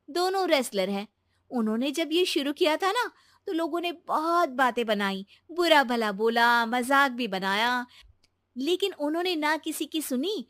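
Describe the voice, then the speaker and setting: A woman voices a confident young wife in a cartoon family drama. Her fairly high voice reasons earnestly and persuades gently, recorded dry and close. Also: no echo. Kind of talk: Hindi cartoon story dubbing.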